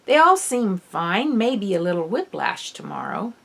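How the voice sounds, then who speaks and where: A woman voicing a tall, calm police officer with an official, questioning manner in an audiobook. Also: medium pitch, a little slow, creaky, full character voice. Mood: businesslike. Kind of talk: acted dialogue in an audiobook.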